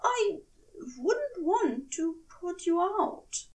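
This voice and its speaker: A woman voicing a dignified, fussy, tipsy male angel who is easily indignant, in a prim, plaintive, drawn-out delivery. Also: very high-pitched, animated, far from the mic, pitched above the performer's own voice, exaggerated voice. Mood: hesitant, coy.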